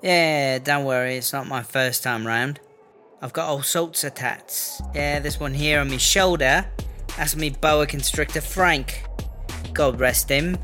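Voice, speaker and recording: A woman's voice: low voice, measured pace, fairly bright tone, soft background music. A woman playing a cocky, defensive, foul-mouthed British inmate in a comedy audio drama, with a slangy, blustering, rambling delivery. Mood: cocky, casual.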